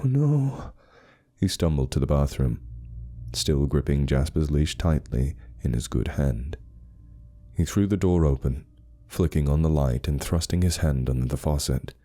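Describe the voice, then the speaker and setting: Male narrator for scripted horror: extremely low pitch, dark mellow tone, dramatic delivery.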